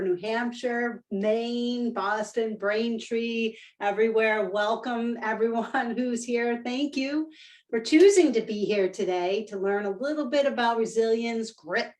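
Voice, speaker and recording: A woman's voice: fairly high pitch, a little mellow. A woman presenting a live online workshop, upbeat and enthusiastic, laughing often as she talks over a video call. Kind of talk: live webinar talk.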